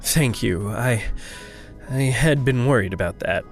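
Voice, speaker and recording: Low swingy voice, earnest hesitant anxious young person, audio drama, halting delivery. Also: female voice, lively intonation, faint music behind, heavily acted. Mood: anxious, grateful. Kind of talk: naturalistic audio drama acting.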